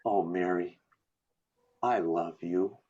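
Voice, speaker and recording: A man's voice: low-pitched, slow pace, a little mellow. A man acting an earnest, stubborn young small-town man in an amateur radio play, with stammering, emphatic delivery over a video call. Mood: angry, then tender. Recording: muffled video call audio.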